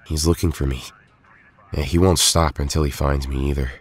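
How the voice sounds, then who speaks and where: A man acting in an audio drama as an earnest, weary young resistance fighter, speaking in a grave, measured way. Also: a bass voice, steady tone. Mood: grave, resolute.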